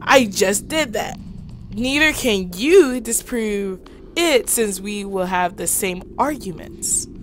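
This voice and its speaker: A woman's voice: mid-range voice, lively intonation, slow pace. A woman reading the lines of a bewildered, argumentative young male protagonist in a visual novel. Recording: faintly noisy home recording.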